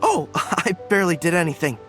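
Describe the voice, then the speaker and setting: A man's voice: fairly high pitch, big pitch swings, a little fast, over music. A man voicing an earnest young male officer in an anime game, polite and a little hesitant. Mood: friendly modesty.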